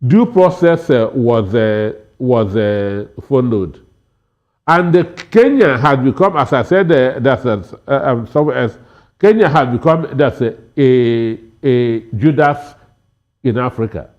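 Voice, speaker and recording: A man giving political commentary as a panel guest, halting and repeating words for emphasis, on dull lo-fi audio. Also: mid-range voice, expressive, a little mellow.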